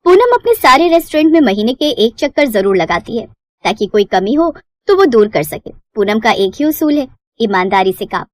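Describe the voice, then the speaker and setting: A woman's voice: on the high side, very animated, normal speed. A woman reading scripted narration as the storyteller of a moral tale.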